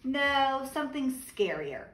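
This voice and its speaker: A woman reading aloud, voicing an excitable young boy frog who exclaims loudly and stretches his words playfully. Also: sits high, roomy sound, voice pushed up, exaggerated voice, poor audio. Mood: excited. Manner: loud, drawn-out, playful exclaiming.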